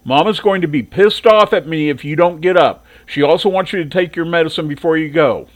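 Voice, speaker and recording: A man's voice: fairly high pitch, fairly quick, voice pushed up, lightly acted. A man voicing a tired teenage boy trying to get his little sister out of bed. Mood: weary. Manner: pleading then firm. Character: weary teenage boy, older brother.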